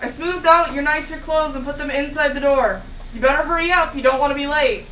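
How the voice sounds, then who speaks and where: A woman's voice: high voice, a little fast, bright, forceful tone, recorded from a distance, slight background noise. A woman playing a bossy, teasing, affectionate mother in an audio drama, recorded roomy and off-mic. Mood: urging. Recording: roomy, off-mic from across the room.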